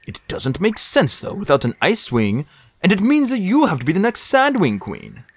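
A woman's voice: on the low side, wildly swooping pitch, moderate pace, husky, no echo, pitched above the performer's own voice, heavily acted. A woman voicing an earnest, bookish young male dragon from a storybook, thoughtful and a little unsure. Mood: bemused. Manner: thoughtful, studious. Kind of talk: storybook character voice.

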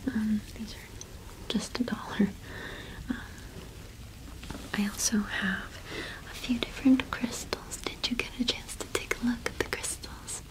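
A young woman playing a friendly, gentle cottage witch shopkeeper in a whispered ASMR roleplay, unhurried and attentive, close to the microphone. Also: a little high, fairly even intonation, natural voice, rough recording. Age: young adult.

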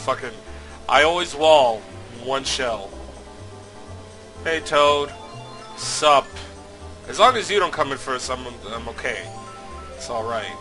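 Male let's-play host, stuffy from a cold, crisp bright tone, casual and foul-mouthed, prone to shouting. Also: mid-range voice, slow pace, long pauses, soft background music. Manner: excitable, swears a lot, loud outbursts. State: a little sick, slightly nasal. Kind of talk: casual gameplay commentary.

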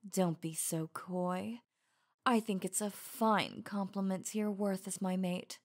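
Slow, sultry werewolf huntress acted by a woman. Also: medium pitch, fairly even intonation. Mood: playful, amused. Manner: slow, breathy, intimate, often dropping to whispers. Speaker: audio roleplay voice actor. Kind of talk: ASMR roleplay.

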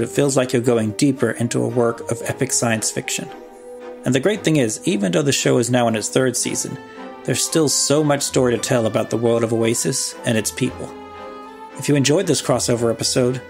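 A man's voice: medium pitch, creaky. A man hosting a podcast, reading a scripted show promo and credits. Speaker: podcast host. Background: music.

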